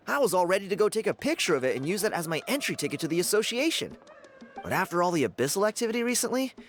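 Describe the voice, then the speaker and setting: A man voicing a friendly, eager, talkative young adventurer in a video game, with a colloquial, animated delivery. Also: a little high.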